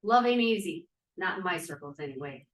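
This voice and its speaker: A woman's voice: on the low side, lively intonation, a little fast, close to an everyday voice. A woman reading the part of a blunt, cynical young activist in a period drama, with a challenging manner. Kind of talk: table read of a period drama. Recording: echoey, distant room sound.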